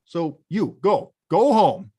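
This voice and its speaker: A woman's voice: lowish voice, sing-song, warm tone, breathy edge, full character voice. Woman playing a big hapless cartoon lug, swooping. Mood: evasive, irritated. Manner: blustering, halting, exclamatory. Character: big clumsy male video-game bad guy, gruff but hapless. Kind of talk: animated film script table read.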